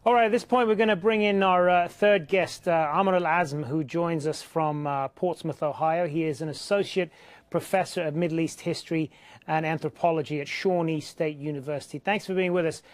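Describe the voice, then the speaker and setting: A male news presenter steers the panel with a notably high voice. He asks long, looping questions full of restarts. The sound is dry and close. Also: fairly even intonation, normal speed, dry recording. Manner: conversational, restarts and fills while posing questions. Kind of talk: hosting a televised panel discussion.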